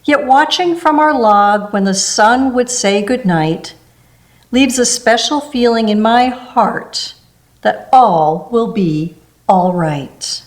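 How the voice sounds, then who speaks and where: Slow, gentle woman reading a storybook. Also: fairly high pitch, lively intonation. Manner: slow, gentle rhyming delivery with small spoken asides. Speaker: storybook reader. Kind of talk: reading a rhyming picture book aloud.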